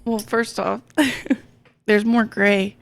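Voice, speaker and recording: A woman's voice: mid-range voice, flat delivery, drawn-out words, vocal fry. A woman in her twenties chatting casually as a podcast guest. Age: 20s. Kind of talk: casual chat.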